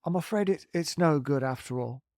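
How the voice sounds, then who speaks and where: A narrator doing a calm, thoughtful young boy. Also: mid-range voice, moderate pace, no room sound, studio quality, natural voice. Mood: hesitant. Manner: hesitant then firm.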